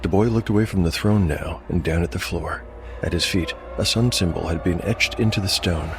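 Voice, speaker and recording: A man narrating a scripted dark fantasy tale as game master, with measured storytelling delivery. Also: low-pitched, a little hiss or hum. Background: music.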